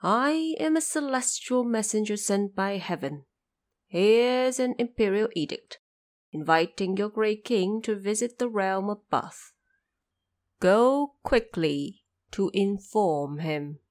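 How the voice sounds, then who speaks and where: A woman plays an old heavenly messenger in a fantasy tale. He is formal and diplomatic, choosing his words with measured care, and his intonation rises and falls expressively. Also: mid-range voice, animated. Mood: formal, stately. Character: dignified old male celestial envoy, diplomatic and reverent.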